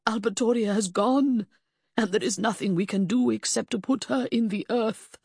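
A woman's voice: low voice, animated, takes it slowly, slightly rough, no echo, studio quality, exaggerated voice. A woman voicing an anguished, weary husband in an audiobook, pleading and insistent with exasperation. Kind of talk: audiobook character acting.

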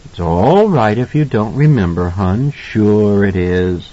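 A man voicing a gentle, worried, protective young mother in an audiobook, speaking in a soft, coaxing way. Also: medium pitch, huge pitch swings, fairly quick, pitched above the performer's own voice. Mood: soothing. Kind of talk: audiobook character voice. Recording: slightly dull, lo-fi audio.

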